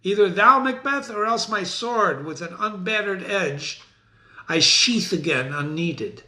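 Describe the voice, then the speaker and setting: A man's voice: high-pitched, animated, a little slow, sharp tone, roomy sound, pitched above the performer's own voice, full character voice. A man playing a vengeful, grieving warrior nobleman, calling out challenges in archaic verse.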